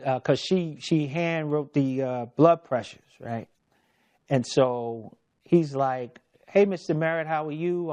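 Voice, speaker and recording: Male documentary narrator, unhurried personal retelling, mid-pitched, chatty with quoted dialogue. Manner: conversational, retells with quoted dialogue, frequent repeated words. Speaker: podcast host telling his story.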